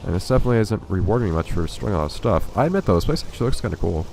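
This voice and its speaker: A man's voice: deep voice, expressive, very fast, creaky, darkish tone, a little hiss or hum. A man with a stuffy, congested voice giving dry, wry commentary while playing a video game. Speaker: let's play host. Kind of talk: casual gameplay commentary.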